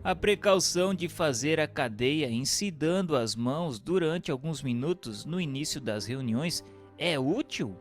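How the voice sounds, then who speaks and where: A man playing an earnest questioner in a radio drama, putting formal questions in a measured way. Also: fairly high pitch, expressive. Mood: earnest, inquiring. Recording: music under the voice. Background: background music.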